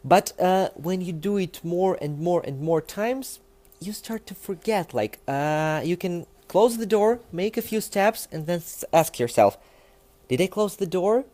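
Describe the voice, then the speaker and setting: A young man with a Russian accent, a native Russian speaker, talking to the camera in a casual, animated and emphatic way, with many fillers. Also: high voice, a little slow. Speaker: language learning vlogger.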